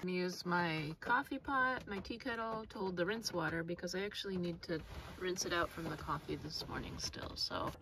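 A woman in her thirties talks her way through cooking in a parked car, relaxed and rambling as she thinks aloud. Her voice sits a little low. The phone at arm's length picks up a steady, clearly audible car-interior noise behind her. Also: brisk. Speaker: vlogger. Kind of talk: casual cooking vlog. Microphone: phone camera at arm's length.

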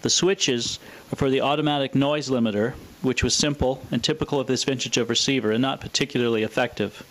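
A man presenting a scripted, explanatory product demonstration in a measured, matter-of-fact way. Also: mid-range voice, steady tone, fairly bright tone. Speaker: presenter of a vintage radio showcase video.

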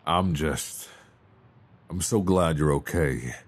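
A man playing a worried, tender mobster boyfriend in an intimate ASMR roleplay, halting and hesitant with sighs. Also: rumbling low, full character voice.

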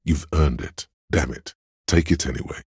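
A man voicing the cold inner voice of logic in a detective's mind for a video game, measured and analytical, with a gravelly, husky delivery. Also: rumbling low, a little mellow, no room sound, studio quality, full character voice. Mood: dry, reasoning.

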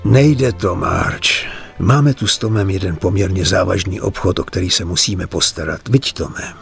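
An older man playing a weary, remorseful mob bookkeeper in a dubbed game, earnest and halting, pleading for his family. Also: low-pitched, vocal fry, faint music behind. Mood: grateful, subdued. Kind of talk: dubbed video game cutscene acting.

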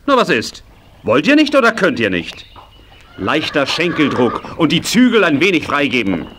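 Lively, instructive riding master. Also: male voice, fairly high pitch, moderate pace, noisy background. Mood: commanding. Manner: instructive, commanding. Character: firm, patient adult male riding instructor. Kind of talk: 1980s German children's audio drama acting.

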